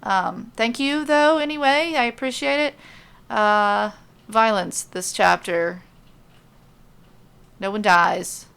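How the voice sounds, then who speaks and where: Woman, podcast host and storyteller, slow pace, creaky voice, dry self-deprecating humor. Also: medium pitch, a bit bright. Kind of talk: casual rambling podcast intro, then audiobook-style narration.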